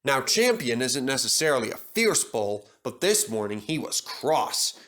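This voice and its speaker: Animated man reading a children's story. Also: medium pitch, a little slow. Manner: expressive storytelling. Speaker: storybook narrator. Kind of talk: scripted children's story narration.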